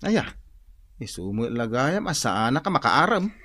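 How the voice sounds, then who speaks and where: An actor playing a lazy, excitable, wheedling older brother with an Ilocano accent in a radio comedy drama. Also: male voice, medium pitch, unhurried, husky, exaggerated voice. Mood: grumbling.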